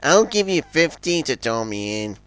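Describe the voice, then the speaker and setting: A man's voice: on the high side, big pitch swings, moderate pace, a bit bright, clean tone, no room sound. A man voicing a penny-pinching, cowardly tramp steamer in a children's story, given to muttering and wailing. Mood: sly, muttering. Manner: muttering when scheming, wailing when scared.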